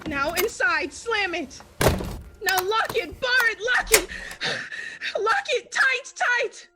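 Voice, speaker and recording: A woman's voice: very high voice, expressive, slow pace, very noisy, full character voice. A woman playing a terrified young woman fleeing home alone at night, talking to herself in breathless, repeated bursts in the style of a 1940s radio play. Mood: frantic. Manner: breathless, frantic self-talk in short repeated bursts.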